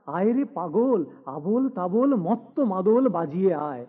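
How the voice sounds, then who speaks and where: A man reciting rhymed poetry in an animated, theatrical and whimsical manner. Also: sits high, measured pace, husky, narrow, tinny audio.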